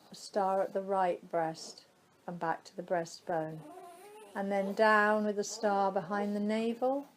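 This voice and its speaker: A woman guiding a yoga nidra relaxation meditation in a soothing, unhurried manner, leaving long pauses between phrases. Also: medium pitch, fairly even intonation, rough recording.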